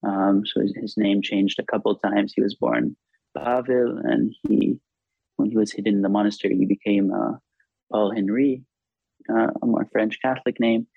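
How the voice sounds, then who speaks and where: Male author, Hebrew native speaker, deep voice, dry close sound, reflective and halting interview talk. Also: steady tone, creaky, dry recording. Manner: halting, thoughtful, frequent restarts. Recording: dull lo-fi recording.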